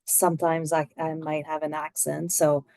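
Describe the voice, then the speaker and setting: A woman with a French Canadian accent asks questions on a video call. Her voice is lowish, mellow and dry. She speaks in a halting, soft, unsure way. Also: flat delivery, gravelly, no echo. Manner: hesitant, halting, soft-spoken. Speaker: first-time presenter asking questions.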